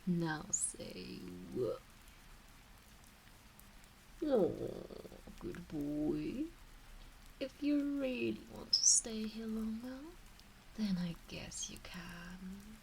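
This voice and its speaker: A woman voicing a teasing, doting kitsune witch in an intimate ASMR roleplay, with a soft breathy voice that often slips into whispers and coaxing baby-talk. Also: medium pitch, expressive, very slow, long pauses, slight background noise, rough recording. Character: teasing, doting kitsune fox witch fussing over her pet. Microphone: close ASMR microphone.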